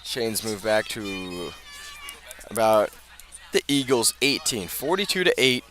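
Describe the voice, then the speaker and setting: American guy doing radio football play by play, lowish voice, steady then punches up big plays. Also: takes it slowly. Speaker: play-by-play announcer. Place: outdoors at a high school football game.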